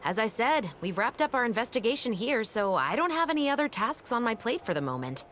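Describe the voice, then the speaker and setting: A woman voicing a calm, composed female officer in a video game, speaking in a steady, matter-of-fact way. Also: fairly high pitch, brisk, a little hiss or hum. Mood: friendly. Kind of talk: game voice acting.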